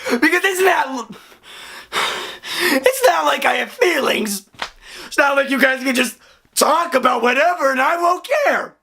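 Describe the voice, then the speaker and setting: Voice actor playing a crying hot-headed young man, high voice, slow, gravelly. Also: expressive, exaggerated voice. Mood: hurt, crying. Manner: tearful shouting outburst. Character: hot-tempered young man with wacky hair, deeply hurt. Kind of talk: dramatic voice acting.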